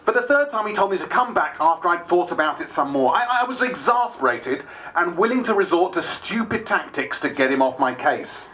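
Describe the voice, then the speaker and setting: A man's voice: sits high, animated, a little fast, sharp tone, poor audio. A man playing a wry, candid diarist who is new to politics, narrating dramatised diary entries.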